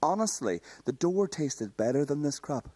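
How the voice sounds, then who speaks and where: A young man playing a deranged, mischievous fifteen-year-old boy in a radio comedy, deadpan and childishly blunt. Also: on the high side, normal speed. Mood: sulky deadpan. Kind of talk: radio comedy play acting.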